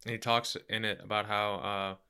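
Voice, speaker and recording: A man's voice: on the low side, flat delivery, normal speed, sharp tone, clean tone. A man interviewing on a call in an easygoing way, with many fillers like "like" and light laughs. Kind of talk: casual conversation on a call.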